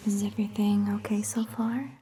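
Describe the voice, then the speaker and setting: A woman playing a caring vampire doctor in an ASMR roleplay, whispering gently and reassuringly in a breathy, hushed voice. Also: mid-range voice, level tone. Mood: gentle, reassuring. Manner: gentle, slow, reassuring, softly questioning. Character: caring, attentive female vampire doctor tending a patient. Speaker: ASMR performer. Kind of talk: soft-spoken ASMR roleplay, mostly whispered.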